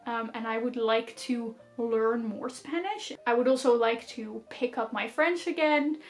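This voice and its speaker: Chatty young Dutch woman, bright voice. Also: fairly high pitch, unhurried, faint music behind. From Netherlands or Flanders. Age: 20s. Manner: chatty, laughs often, many "like" fillers. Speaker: book vlogger. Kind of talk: casual chat to camera while doing makeup. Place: small decorated bedroom, indoors.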